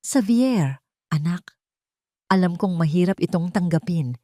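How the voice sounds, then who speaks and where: A woman voicing a calm, sorrowful, manipulative older mother, gentle and measured, in an audio drama. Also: lowish voice, dry recording, studio quality.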